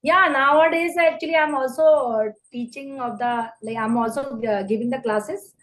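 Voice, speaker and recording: A woman's voice: sits high, normal speed, a bit bright. A woman with an Indian accent in casual conversation, speaking non-native English with repeated phrases and frequent check-in tags. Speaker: online English teacher and YouTuber.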